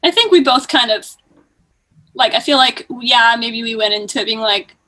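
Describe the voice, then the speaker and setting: A woman's voice: on the high side, normal speed, bright, forceful tone. A woman guest chatting informally on a podcast, slangy, with "like" sprinkled all through. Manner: informal, slangy, heavy use of "like". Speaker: podcast guest, music company co-founder. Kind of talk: casual chat.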